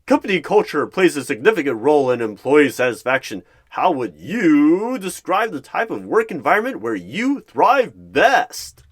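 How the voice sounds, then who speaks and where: A man playing a boastful, over-excited job recruiter who announces interview lines like a game show host in a roleplay. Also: on the high side, moderate pace, hardly any pauses, pitched above the performer's own voice. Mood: booming enthusiasm.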